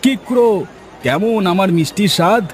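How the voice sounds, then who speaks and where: A man's voice: high voice, animated, a little fast, a little hiss or hum. A man voicing a chummy, generous village shopkeeper in a cartoon, chatty, familiar and folksy. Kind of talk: cartoon voice acting. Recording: faint music behind the voice.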